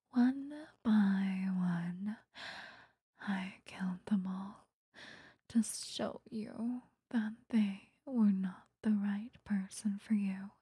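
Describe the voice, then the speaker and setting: A woman voicing an obsessive, sweetly menacing vampire lover in a breathy, whispered ASMR roleplay, close to the microphone. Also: medium pitch, mellow, dry recording, exaggerated voice. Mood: possessive. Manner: slow, intimate, coaxing, threatening. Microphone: close microphone, dry.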